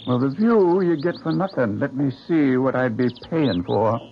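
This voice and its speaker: A man's voice: medium pitch, animated, moderate pace, a little hiss or hum, natural voice. A man playing a curt, skeptical house buyer who is hard to please, in a 1970s radio drama. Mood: brusque. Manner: curt, brusque. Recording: dull lo-fi radio tape.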